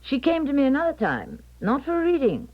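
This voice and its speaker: A woman's voice: high voice, huge pitch swings, fairly quick. An actress playing a troubled, secretive woman clairvoyant in 1970s radio drama style, theatrical and hesitant. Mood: earnest confiding. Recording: dull lo-fi 1970s radio broadcast.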